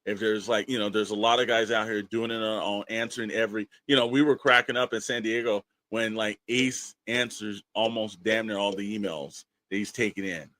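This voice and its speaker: A man's voice: lowish voice, steady tone, brisk, hardly any pauses, a bit bright, dry recording. An American man co-hosting a podcast in a casual, chatty style, speaking informally and repeating phrases for emphasis. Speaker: podcast co-host.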